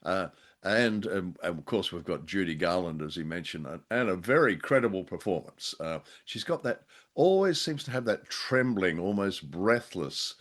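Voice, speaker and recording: A man's voice: deep voice, lively intonation, moderate pace, fairly bright tone. An Australian man co-hosting a conversational review show, talking in a wordy, wry way. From Perth, Western Australia. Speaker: podcast co-host. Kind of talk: conversational radio drama review.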